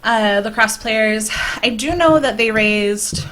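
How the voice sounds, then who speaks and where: A woman's voice: a little high, slow pace, fairly bright tone. A young woman co-hosting a podcast, chatting casually and joking around at a table microphone. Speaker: podcast co-host. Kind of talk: casual group chat. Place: small room at a round table. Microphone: podcast microphone on boom arm.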